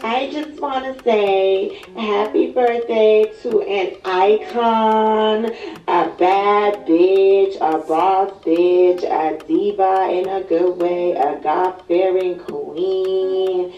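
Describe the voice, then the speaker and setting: A woman giving a gushing, affectionate recorded birthday message. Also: lowish voice. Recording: roomy, distant, lo-fi with music.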